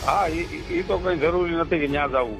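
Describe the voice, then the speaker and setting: A man's voice: fairly high pitch, moderate pace, loud music behind the voice. A man chatting casually on a recorded phone call, agreeable and brief in his responses.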